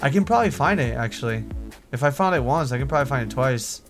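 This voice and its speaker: A man's voice: medium pitch, expressive, fast talker, soft background music. A man hosting a game series, chatting casually through Minecraft roleplay. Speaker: host of a gaming series.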